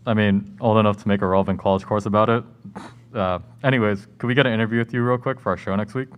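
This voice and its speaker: Low-voiced easygoing young man acting. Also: fairly even intonation, fast talker, clear, resonant tone, lo-fi sound, natural voice. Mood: eager. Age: young adult. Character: easygoing, candid young radio show student. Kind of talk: amateur scripted radio play. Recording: slight background noise.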